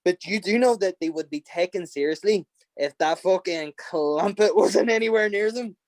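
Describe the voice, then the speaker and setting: A man's voice: sits high, a little mellow, no room sound. A man with an Irish accent, a podcast guest talking bluntly and profanely in a casual interview. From Ireland. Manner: blunt, profane and fiery, quick to laugh.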